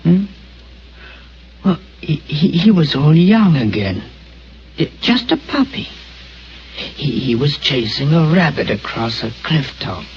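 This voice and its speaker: An actor playing a gentle, simple-minded young man who stammers with childlike wonder, in a 1940s radio play. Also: fairly high pitch, very animated, slow, long pauses, husky, exaggerated voice. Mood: dreamy. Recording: muffled old radio broadcast.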